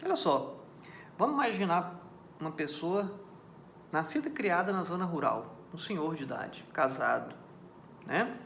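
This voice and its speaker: A high-pitched Brazilian man teaching a law class in a didactic way, repeating his key points and stopping often to ask whether listeners understand. His voice carries the echo of a reverberant room with faint background ambience. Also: lively intonation, measured pace, gravelly, long pauses, fairly bright tone, a little hiss or hum. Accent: Brazilian Portuguese. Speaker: law lecturer. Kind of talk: recorded lecture on criminal law.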